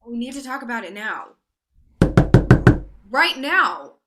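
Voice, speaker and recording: A woman's voice: on the high side, sing-song, slow pace, very noisy. An actress playing a worried girlfriend who speaks curtly and insistently in a staged play reading. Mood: tense. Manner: curt, insistent, pointed. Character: worried young woman, sharp-tongued girlfriend.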